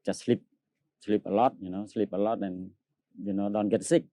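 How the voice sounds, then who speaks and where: A Cambodian man who speaks Khmer as his first language and sings opera tenor, answering an interview in halting English with many fillers and frequent laughs. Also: on the low side, fairly even intonation, mellow, dry recording.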